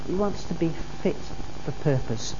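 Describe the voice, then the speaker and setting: A woman's voice: sits low, lively intonation, normal speed. Woman preaching in an echoing hall. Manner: earnest, repeats key phrases for emphasis. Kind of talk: sermon. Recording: dull recording in a reverberant hall with faint noise.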